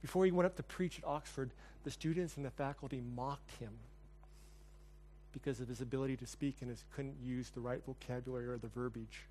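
A man's voice: mid-range voice, fairly even intonation, brisk, a little mellow, a little hiss or hum. A pastor closing a sermon and leading a prayer in church, earnest, building his lines in repeated phrases. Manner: earnest, repetitive praying cadence.

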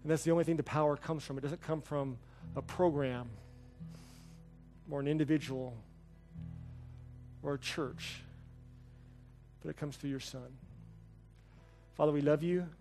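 A man's voice: a little high, steady tone, a little slow, pauses often, a little mellow, faint music behind. Earnest preacher man praying. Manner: earnest, repetitive praying cadence. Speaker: pastor. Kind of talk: sermon closing in prayer. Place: church.

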